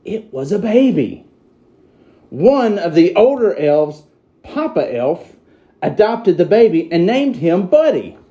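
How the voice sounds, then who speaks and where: A man playing an excitable, childlike Christmas elf who reads a storybook to children with slow, theatrical delivery. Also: high-pitched, heavily acted. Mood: cheerful, earnest.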